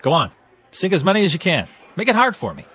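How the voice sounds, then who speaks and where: A man's voice: sits high, wildly swooping pitch, fast talker, bright, forceful tone, husky, heavily acted. A man acting as a cocky, proud young pool hustler in a radio drama, talking in an offhand, self-assured way. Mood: coaxing.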